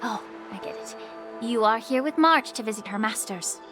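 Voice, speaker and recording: A composed lady healer from a video game, voiced by a woman, high-pitched and poised. She has a sly, knowing, gently commanding way, with music playing underneath. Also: animated, normal speed, close to an everyday voice. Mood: teasing.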